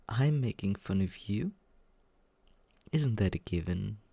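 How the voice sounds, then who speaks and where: A young man voicing a smug young man who teases and quietly looks after the listener, in a close, soft, whispered roleplay. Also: mid-range voice, creaky, no echo, heavily acted. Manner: teasing, condescending, soft whispering. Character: smug teasing young man, mockingly caring. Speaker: ASMR roleplay performer.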